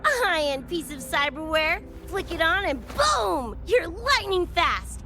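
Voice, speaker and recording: A woman's voice: very high voice, big pitch swings, unhurried, sharp tone, slight background noise, full character voice. A woman voicing a brash, cocky young mercenary with slangy, streetwise swagger in a video game. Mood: breezy, enthusiastic. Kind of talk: animated video game voice acting. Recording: music under the voice.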